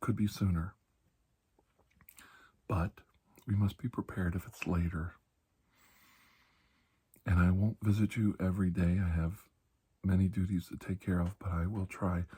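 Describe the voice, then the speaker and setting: A man playing a calm, kindly doctor in a soft-spoken ASMR roleplay, reassuring and deliberate, with long pauses and breathy slips into whispering. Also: deep voice. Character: calm, kindly, ageless male doctor of alien medicine.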